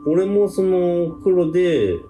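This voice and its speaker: Animated man in an echoey room. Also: high voice, takes it slowly, music in the background. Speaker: paranormal video show member. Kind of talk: casual chat on location. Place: indoors in a hotel room. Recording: echoey room, some background noise.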